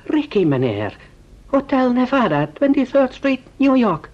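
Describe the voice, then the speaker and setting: An actor playing a polite, apologetic young male servant in an old radio play, deferential and halting. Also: very high-pitched, big pitch swings, heavily acted. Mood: insistent. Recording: muffled vintage radio recording.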